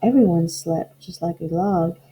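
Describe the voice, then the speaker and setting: A woman teacher speaking in simple, deliberate phrases to children, as in a storybook read-aloud. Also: on the low side, dark tone. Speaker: elementary school teacher. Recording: dull lo-fi audio with faint noise.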